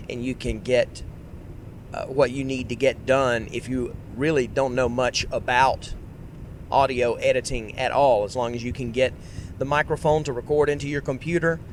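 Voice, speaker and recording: A man hosting a casual solo podcast, talking in a rambling, self-correcting way and projecting his voice. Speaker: podcast host. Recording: faint road rumble underneath. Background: road noise.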